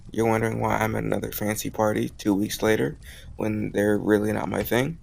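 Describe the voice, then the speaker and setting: Man acting as young male love interest, deepish gravelly voice, warm, teasing, conversational, intimate romantic roleplay. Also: exaggerated voice. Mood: teasing, fond. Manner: casual, gently teasing, conversational with soft chuckles. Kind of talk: romantic audio roleplay, spoken intimately to the listener.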